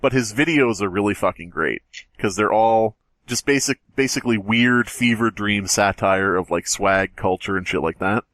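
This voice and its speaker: A man's voice: lowish voice, normal speed, a bit bright, dry recording. A man hosting a podcast, telling a story in casual, profane chat. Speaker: podcast host.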